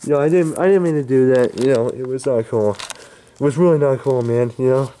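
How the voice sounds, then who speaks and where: A man's voice: medium pitch, brisk, warm tone, slight background noise, lightly acted, rough recording. A man voicing a hesitant, remorseful young ex-boyfriend who mumbles and stumbles over his words. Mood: apologetic. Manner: hesitant, mumbling, many restarts.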